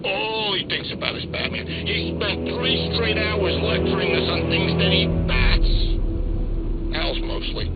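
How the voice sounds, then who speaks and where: A man playing a tough, gossiping street thug in a video game, talking in rough slang. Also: high-pitched, lively intonation, a little slow, lo-fi sound, loud music behind the voice. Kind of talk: video game background character acting.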